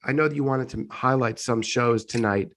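A male host, hoarse from congestion, asking questions in an easygoing interview chat. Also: mid-range voice, moderate pace, fairly bright tone.

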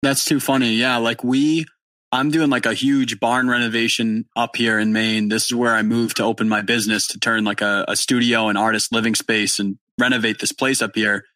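A young man from New England, hosting a casual podcast interview, excitable and swearing freely. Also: medium pitch, moderate pace, no echo. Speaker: podcast host. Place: barn studio in rural Maine.

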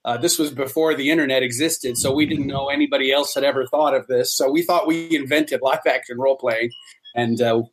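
A man's voice: mid-range voice, fairly even intonation, fairly quick, breathy edge, low-quality recording. A middle-aged man reminiscing casually as an interview guest.

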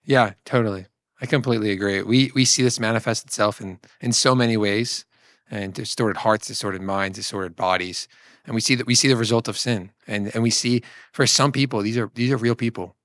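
A man's voice: fairly low pitch, fairly even intonation, brisk, a bit bright, no echo. Emphatic man explaining, dry close sound. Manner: emphatic, explanatory, restates points. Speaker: co-host of a book discussion series.

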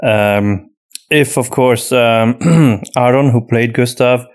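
A man co-hosting a podcast, a native Flemish Dutch speaker with an accent between British and American, improvising casual talk in halting, pieced-together sentences. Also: medium pitch, clear, resonant tone. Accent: mixed European, between British and American. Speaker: podcast co-host and voice actor.

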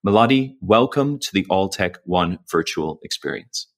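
A man hosting a virtual event, reading a guest introduction and then asking somewhat halting questions. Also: deep voice, expressive. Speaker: event host and interviewer.

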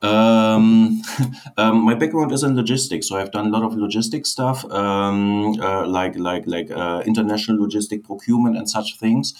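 A man's voice: on the low side, moderate pace, darkish tone. A man from Germany with a German accent, whose first language is German, telling his story as a guest in earnest, plain speech that often repeats phrases. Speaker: guest, online seller. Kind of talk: casual interview answers.

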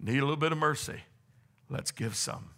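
A man's voice: mid-range voice, brisk, creaky, sharp tone. A man preaching a sermon as pastor, with fervent exclamations and a voice strained from long preaching. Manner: preaching with rising fervor, exclamations and call-and-response.

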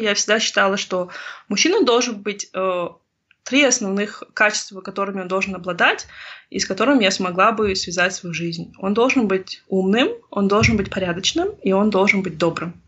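A woman from Kazakhstan in her thirties talks through her thoughts in an interview, earnest and unhurried in her search for the right words. Her voice has a breathy, husky edge. The recording sounds a little muffled and lo-fi. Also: mid-range voice, fairly bright tone. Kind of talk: reflective personal interview. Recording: slightly dull lo-fi recording.

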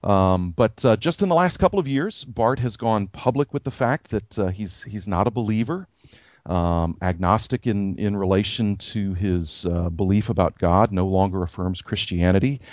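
A man co-hosting a casual podcast chat as a pastor, soft-spoken and halting, with many fillers and restarts. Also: on the low side, no room sound. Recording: dry, dull lo-fi sound.

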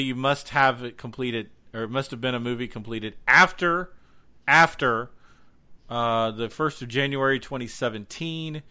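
Bright-voiced man co-hosting a casual podcast. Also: mid-range voice, clean tone. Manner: jokey, playful asides. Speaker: podcast co-host. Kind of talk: casual announcements and banter.

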